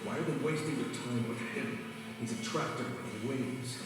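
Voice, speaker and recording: A man's voice: medium pitch, moderate pace, close to an everyday voice. A man playing an arrogant cartoon champion racing plane with a sneering, contemptuous manner. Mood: scornful. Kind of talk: animated film character acting. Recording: echoey, distant, loud music behind.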